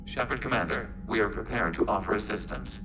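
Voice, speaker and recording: Deep, emotionless synthetic machine played by a man. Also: brisk, background noise, lightly acted. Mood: flat, logical. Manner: clipped, precise, emotionless statements. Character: calm, formal synthetic machine intelligence, logical and literal. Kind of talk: video game acting.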